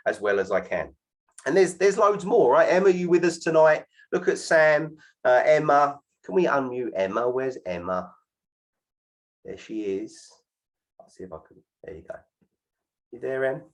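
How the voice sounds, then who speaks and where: Upbeat man pitching on a call. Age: 40s. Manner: keeps adding "right?" to sentences, lively and persuasive. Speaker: host of an online presentation. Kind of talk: energetic sales pitch on a video call.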